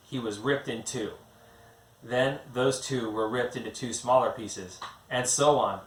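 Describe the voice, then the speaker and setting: A man's voice: medium pitch, a bit bright. A man reading a fantasy story aloud in a dramatic narrating style. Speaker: author reading own book aloud. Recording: roomy, distant mic, faint background noise.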